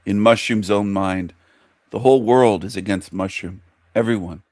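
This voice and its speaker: Lower-voiced guy sneering over clips, scornful, dry mic. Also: moderate pace, darkish tone, dry recording. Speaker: commentary youtuber. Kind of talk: mocking commentary.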